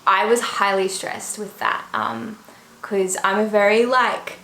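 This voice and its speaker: A young Australian woman chats through viewer questions in an easy, rambling way, with plenty of fillers and trailing asides. Her voice sits at a middle pitch. The room is echoey, with faint noise underneath. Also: fairly even intonation, measured pace, pushed and bright. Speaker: YouTuber. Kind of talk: casual Q&A vlog.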